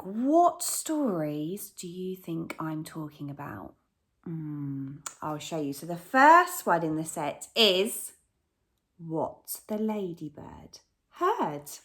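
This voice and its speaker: A woman teacher reading a picture book aloud to young children, gasping and asking them questions as she goes. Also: on the low side. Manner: animated, gasps and asks the children questions. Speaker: primary school teacher.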